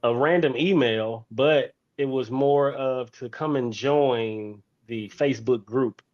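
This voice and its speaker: Slower-talking man in his forties, slightly dull audio. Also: mid-range voice, a little mellow. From Fort Worth, Texas, United States. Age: 40s. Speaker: interview guest, teacher. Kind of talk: personal storytelling in an interview.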